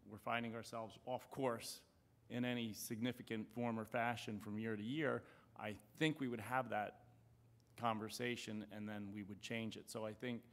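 A man explaining his view as a council member at a meeting, deliberate and careful in how he puts it. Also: on the low side, a bit bright. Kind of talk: measured discussion at a public budget meeting. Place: council chamber.

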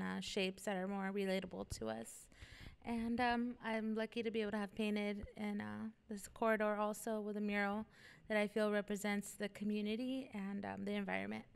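A woman introduces herself casually into a handheld microphone. Her voice is clear and stays very even, with noticeable vocal fry at mid pitch. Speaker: mural artist, panelist. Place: indoor room, seated panel.

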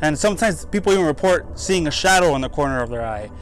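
A man's voice: fairly high pitch, expressive, fast, soft background music, rough recording. A young man hosting a paranormal investigation vlog, talking casually outdoors. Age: young adult. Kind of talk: casual on-location vlog talk. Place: outdoors beside a lighthouse building.

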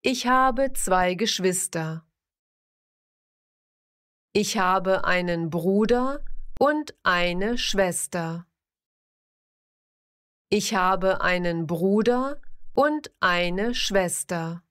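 A woman slowly reading simple example sentences for a language lesson, pronouncing each word carefully and repeating every sentence. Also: mid-range voice, expressive, fairly bright tone. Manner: careful, evenly paced, clearly enunciated.

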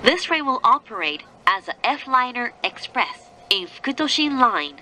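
Slow, lilting woman train announcer. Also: fairly high pitch, sing-song, sharp tone, low-quality recording. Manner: formal, measured, carefully enunciated. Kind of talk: scripted public train announcement. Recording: train announcement with faint vehicle noise. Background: moving train noise.

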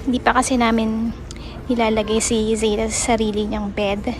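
A woman's voice: fairly high pitch, little pitch movement, normal speed, background noise. A woman in her thirties from the Philippines, chatting casually to a phone held at arm's length, with English mixed in and plenty of "um"s. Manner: chatty, mixes English into Tagalog, frequent "so" and "um". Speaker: vlogger. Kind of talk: casual vlog narration. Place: outdoors in a snowy yard, then a car back seat, then a big store.

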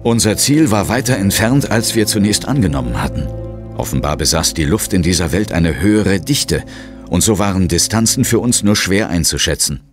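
An actor playing a resolute ghost hunter who tells his own adventure in a measured, suspenseful audio drama style. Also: low voice, expressive. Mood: ominous, measured. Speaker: first-person narrator and lead character. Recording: loud dramatic music and effects behind.

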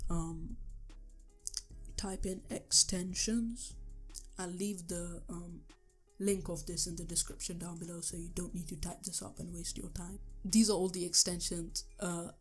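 A young man with a sore, hoarse throat, talking through a computer tutorial step by step, with the odd um. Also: sits high. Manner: earnest step-by-step instructions with ums. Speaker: tech tutorial youtuber. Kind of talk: screen-recorded tutorial voice-over.

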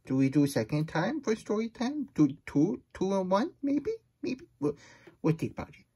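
A man's voice: sits high, very animated, normal speed, mellow, husky, exaggerated voice. A man voicing a silly, childlike plush hedgehog who reads storybooks aloud in babyish broken grammar and gets easily flustered. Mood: flustered, playful. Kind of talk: playful storybook read-aloud.

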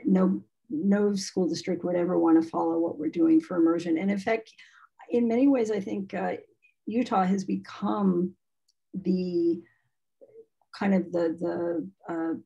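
A woman's voice: medium pitch, vocal fry, warm tone, slightly rough, rough recording. A woman speaking frankly and chattily as a guest on a video call about immersion schooling. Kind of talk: casual video-call talk.

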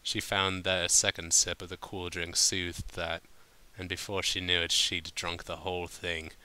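A man's voice: low-pitched, level tone, normal speed, sharp tone, clear, resonant tone. A man reading a story aloud informally, sometimes stumbling over his words and breaking off to comment. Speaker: fan fiction reader.

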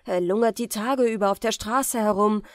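A woman's voice: medium pitch, normal speed, dry recording, clean studio sound, natural voice. Blunt, exasperated storybook mother, read by a woman. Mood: stern, fed up. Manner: stern, outspoken.

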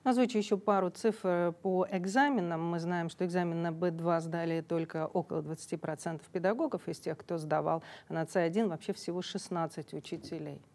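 A middle-aged woman hosting a TV studio interview, asking questions in a crisp, formal manner. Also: lowish voice, fairly quick, few pauses, a little mellow. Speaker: TV news host.

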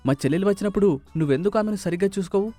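Guy putting on a touchy defensive husband in a telugu cartoon, raised pitch, indignant, bit of music. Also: racing, mellow, dry recording. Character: irritable husband, defensive and argumentative. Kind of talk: animated moral story dubbing.

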